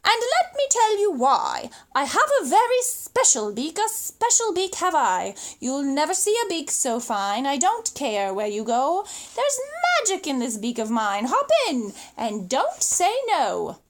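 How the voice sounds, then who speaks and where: A woman's voice: sits high, very animated, a little slow, few pauses, heavily acted. A woman voicing a hungry, boastful male pelican from a storybook, with exclamatory, sing-song rhyming speech. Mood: reassuring, boastful.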